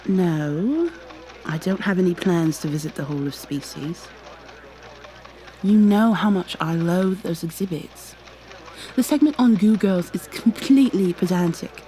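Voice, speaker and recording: A woman's voice: fairly low pitch, lively intonation, measured pace, mellow, slight background noise, rough recording. A woman playing a proud, exasperated goo girl special agent with prim, formal wording in an ASMR roleplay. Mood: weary, earnest. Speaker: voice actor in an audio roleplay.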